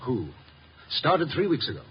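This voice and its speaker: Earnest, animated government aide. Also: male voice, fairly high pitch, moderate pace, husky, full character voice. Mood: earnest, guarded. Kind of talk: 1950s radio acting. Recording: dull, noisy vintage radio recording.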